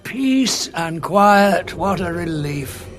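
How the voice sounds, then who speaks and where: A man's voice: high-pitched, expressive, drawn-out words, a bit bright, full character voice. An older man acting a sardonic, imperious renegade time traveller in a science fiction audio drama, with a dry, scornful manner. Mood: brisk, wry. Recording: dramatic music behind dialogue.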